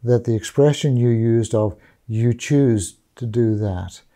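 An older man interviewing a guest in a small study, quietly agreeing and adding his own reflections. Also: mid-range voice, unhurried. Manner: supportive, frequent quiet agreement. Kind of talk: interview conversation. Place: small study with bookshelves, indoors.

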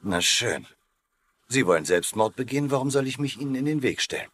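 Comedy dub: wry colonel in charge, mid-pitched, swooping intonation, brisk and commanding. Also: male voice, lively intonation, fairly bright tone, dry recording. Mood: resigned. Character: wisecracking American colonel leading prisoners of war. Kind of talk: dubbed sitcom acting.

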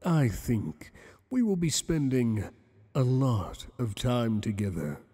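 A man's voice: mid-range voice, very animated, mellow, husky, long reverb tail, full character voice. A man voicing a teasing, possessive monster boss. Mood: flirtatious. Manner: teasing, flirtatious, slow and intimate. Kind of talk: ASMR roleplay.

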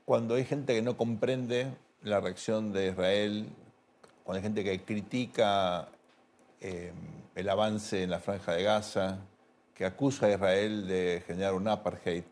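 A man's voice: lowish voice, fairly even intonation, measured pace, darkish tone, slight background noise. A middle-aged man with an Argentine accent, hosting a talk show in a TV studio and asking conversational, probing questions. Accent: Rioplatense Spanish. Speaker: host.

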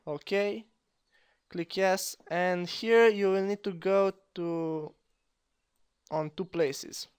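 A young man with a Romanian accent hosting a software tutorial at his computer, talking haltingly with many fillers and restarts. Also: a little high, clear, resonant tone. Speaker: tutorial host. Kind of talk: screen-recorded software tutorial.

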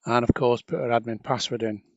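A man narrating a software tutorial step by step in an informal, chatty, explanatory way. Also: medium pitch, steady tone, fairly quick, no echo, muffled recording. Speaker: trainer.

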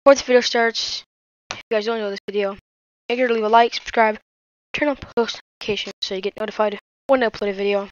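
Female tutorial host, smooth clear voice, casual delivery, slightly dull mic. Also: mid-range voice, steady tone, quick pace, dry recording. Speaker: host of a tech tutorial channel. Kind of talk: casual screen-recorded tutorial.

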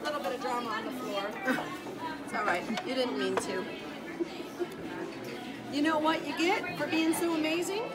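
Mock-stern waitress, middle-aged woman, high voice, teasing and bossy over a packed room. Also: moderate pace, long pauses, heavy background noise. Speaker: restaurant server. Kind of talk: playful in-character table banter. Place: busy indoor restaurant dining room. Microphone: phone camera at the table. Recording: loud diner crowd behind.

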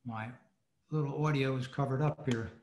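A man giving an informal talk as a club presenter on a video call, halting and hesitant. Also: mid-range voice, fairly even intonation, a little mellow, a little hiss or hum. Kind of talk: halting informal lecture over slides. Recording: roomy video-call audio.

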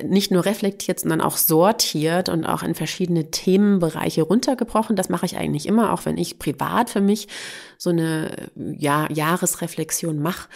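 A woman's voice: fairly low pitch, creaky, darkish tone. Chatty woman with a slight cold. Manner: chatty, candid, with fillers and laughs. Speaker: podcast host. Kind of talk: casual solo podcast monologue.

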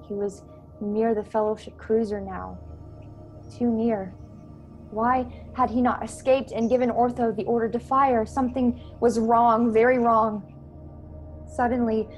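A woman's voice: fairly high pitch, darkish tone. A young woman playing a grieving starship crew member in a science-fiction radio play, telling her story dramatically. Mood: alarmed. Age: young adult. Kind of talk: dramatic first-person radio play narration. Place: bedroom at home, video call. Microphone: earphone headset on video call.

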